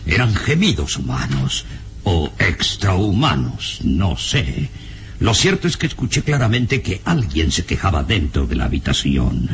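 Deep-voiced, slow, haunted professor. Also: male voice, sing-song, full character voice. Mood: frightened, insistent. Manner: dramatic, halting, ominous. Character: grave, shaken male professor recounting dark castle secrets. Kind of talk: old radio serial acting. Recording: noisy old radio serial recording, rumble.

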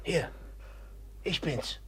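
An actor playing a brooding, jealous young man in a 1950s radio play, speaking bluntly and with mockery. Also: drawn-out words. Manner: blunt, sullen, mocking. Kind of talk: 1950s radio drama acting.

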